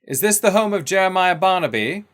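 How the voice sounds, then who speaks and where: A man voicing a composed gentleman adventurer who calls out formal challenges. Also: sits high, expressive, a little fast, pitched above the performer's own voice. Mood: polite, firm. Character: composed, dapper gentleman adventurer with sword cane.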